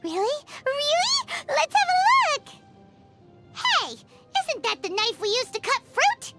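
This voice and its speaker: A woman voicing a tiny, excitable, pouty fairy companion in a childlike, squeaky voice for a video game. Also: takes it slowly, heavily acted. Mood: excited, surprised. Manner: refers to self in third person, exclamatory. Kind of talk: animated game voice acting. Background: background music.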